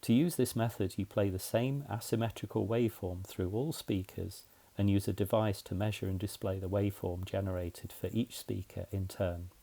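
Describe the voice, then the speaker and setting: A man's voice: fairly low pitch, fairly even intonation, normal speed, creaky, warm tone. A man narrating a scripted explainer in a methodical, plain-spoken way, as a tutorial presenter.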